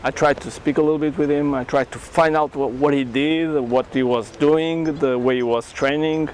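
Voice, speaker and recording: A Portuguese-accented man speaks with a slightly raised pitch, recounting his experience as an interview guest in earnest, halting English full of restarts. He is recorded outdoors in a town, with faint street noise behind him. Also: fairly even intonation, darkish tone. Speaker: karate champion and entrepreneur, guest. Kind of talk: interview storytelling.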